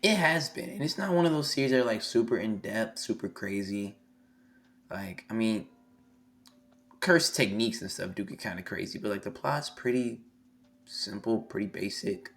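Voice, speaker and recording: A hoarse young man on a live stream, reading a manga chapter aloud and reacting excitably, swearing freely. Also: lowish voice, moderate pace. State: hoarse, losing his voice. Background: faint music.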